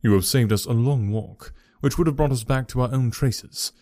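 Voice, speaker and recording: A man voicing a calm, composed detective. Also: medium pitch, moderate pace, no echo, studio quality, close to an everyday voice. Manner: composed, smooth, quietly commanding. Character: calm, sharp-minded male detective.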